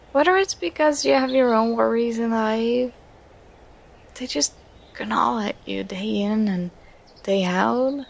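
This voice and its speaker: A woman playing an affectionate, contented girlfriend in an ASMR roleplay, speaking in a tender, soothing, intimate and unhurried manner. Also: fairly high pitch, creaky, full character voice. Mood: tender, reassuring.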